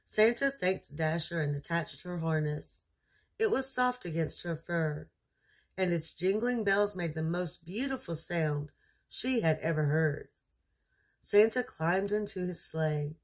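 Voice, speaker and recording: Female storyteller for children's picture books: unhurried, lowish pitch, husky, expressive. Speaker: storyteller reading aloud.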